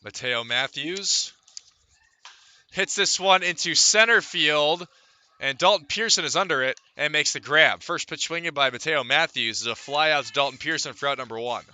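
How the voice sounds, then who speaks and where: A man doing live radio baseball commentary in a very bright, forceful voice, pitched slightly high. He delivers stats in short clipped phrases and fills out the action in descriptive sentences. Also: moderate pace. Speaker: baseball play-by-play announcer.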